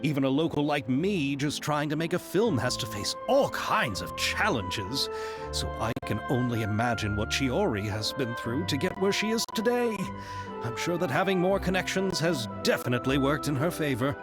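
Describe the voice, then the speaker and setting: A man's voice: a little high, animated, moderate pace, natural voice. A man playing an earnest young local film director, in a video game. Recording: game music behind.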